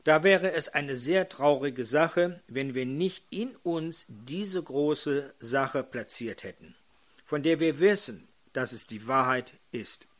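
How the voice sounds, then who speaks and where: Older man, hoarse, preacher, slow delivery, slightly high pitch, questions to congregation. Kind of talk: sermon.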